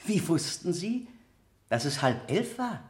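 Insistent examiner in a roomy courtroom sound. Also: male voice, sits high, big pitch swings. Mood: insistent, pressing. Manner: deliberate, insistent, formally polite questioning. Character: probing male courtroom examiner, persistent and methodical. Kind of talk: 1970 German radio play acting.

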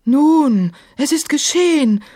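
Woman as male storybook djinn, touchy, defiant, plaintive, courtly, high pitch. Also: a little slow, a little mellow. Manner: plaintive, ornate.

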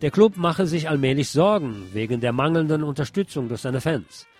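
A man presenting a read news report, measured and lifting his voice for listings and headings. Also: mid-range voice, a little mellow.

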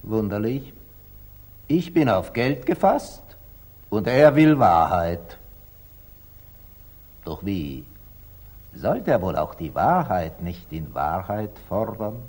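A wise, wary merchant in a 1940s radio play. The voice is lowish and husky, and he weighs each phrase slowly and thoughtfully with expressive rises and falls. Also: full character voice. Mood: pondering, wary. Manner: measured, pondering, guarded. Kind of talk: 1940s German radio stage acting.

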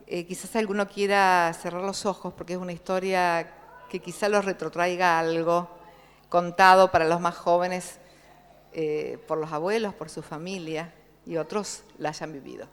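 A woman from Córdoba with an Argentine accent, telling and reading a story aloud at a book launch, slow and expressive. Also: fairly low pitch, smooth. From Córdoba province, Argentina. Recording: reverberant hall.

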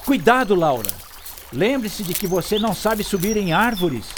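A man narrating a scripted children's story in an expressive storytelling style. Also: sits high, moderate pace, heavy background noise. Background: music.

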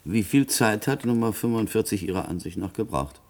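An actor playing a sceptical male public prosecutor, formal, measured and pointed, in a German radio play. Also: on the low side, lively intonation. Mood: questioning.

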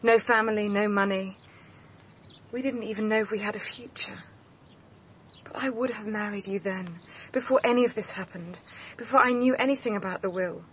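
An earnest, determined young woman played by an actress in a radio drama. Her voice sits at a middling pitch and moves between intimate hush and intensity. A light layer of noise lies over the recording. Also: moderate pace, natural voice. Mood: pleading, resolute.

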